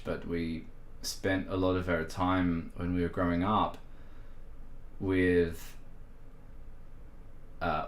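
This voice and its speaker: A man hosting a casual livestream, chatting in a rambling, self-deprecating way with plenty of fillers. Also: low voice. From Australia. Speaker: livestream host. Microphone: streaming microphone. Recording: echoey room.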